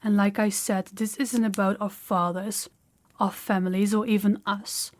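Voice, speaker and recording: An imperious young princess in a fantasy audio roleplay, played by a woman. She has a mid-pitched female voice and talks deliberately, with haughty condescension and an air of command. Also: level tone, a little mellow, natural voice. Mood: stern, exasperated.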